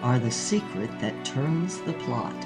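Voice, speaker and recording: A woman's voice: a bass voice, lively intonation, unhurried, over loud music. A woman voicing a powerful male politician, a romantic lover who speaks grandly and intimately in an audio drama. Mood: grandiose, romantic.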